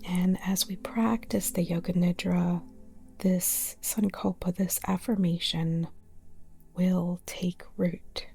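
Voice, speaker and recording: A woman guiding a relaxation meditation in a soft, breathy near-whisper, calm and soothing, with short spaced phrases. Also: lowish voice, takes it slowly. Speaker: yoga nidra meditation guide. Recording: modest older mic, music behind.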